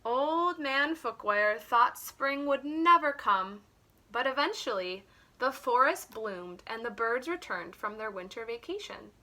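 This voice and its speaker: Bright, high-voiced woman reading to children. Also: a little slow. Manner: expressive, simple explanatory asides to children. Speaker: children's teacher and story reader. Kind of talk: read-aloud story time for young children.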